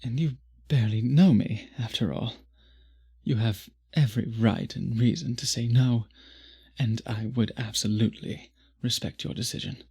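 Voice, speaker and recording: Guy acting as a possessive vampire lover, super breathy n husky, gravelly, polite old-fashioned asmr murmur. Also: mid-range voice, normal speed, mellow. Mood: tender, coaxing. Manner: formal, courtly, murmuring, often dropping to a whisper. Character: possessive, courteous male vampire, hungrily doting lover. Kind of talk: intimate ASMR roleplay acting.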